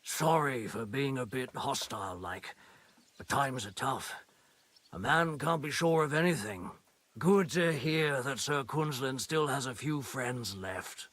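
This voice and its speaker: Husky, wary medieval horse keeper. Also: male voice, mid-range voice, lively intonation, unhurried, a bit bright, no echo. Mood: softening. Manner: plain rustic speech, grudging. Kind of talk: video game dialogue acting.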